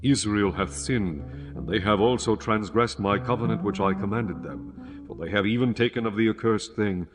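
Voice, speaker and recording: Male narrator, fairly low pitch, solemn measured scripture reading, clear background music. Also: animated. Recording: music behind the voice.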